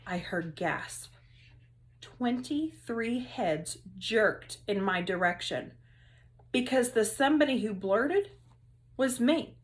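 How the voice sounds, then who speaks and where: A woman teacher reading a children's story aloud, expressive and with dramatic emphasis. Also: fairly high pitch, slow.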